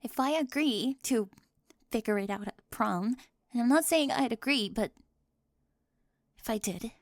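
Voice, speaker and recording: Girl voice actor doing bossy flustered teen girl, highish voice w lots of fry, asmr roleplay, whispery bits, super dry mic. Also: expressive, a little slow, no room sound. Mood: indignant. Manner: fussy, emphatic, flustered, clipped. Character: bossy, high-strung, organised teenage girl bully with a crush.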